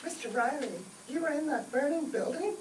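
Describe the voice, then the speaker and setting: A woman's voice: sits high, a little fast, close to an everyday voice. A woman playing a polite, concerned dinner guest in an old-time radio comedy. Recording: roomy, distant stage pickup with faint noise.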